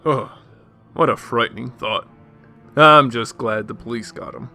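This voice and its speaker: An actor playing a breezy, naive-seeming rich socialite with a casual, affable manner in a radio drama. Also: male voice, mid-range voice, a little slow, bright, forceful tone, soft background music. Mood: feigning naivety.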